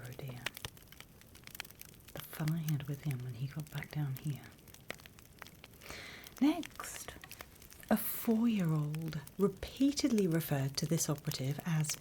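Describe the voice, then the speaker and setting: A British woman voicing a sly, wryly menacing demon instructor in a hushed, conspiratorial ASMR roleplay whisper, close to the microphone. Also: sits low, slight background noise. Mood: sinister relish. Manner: measured, hushed, dryly conspiratorial.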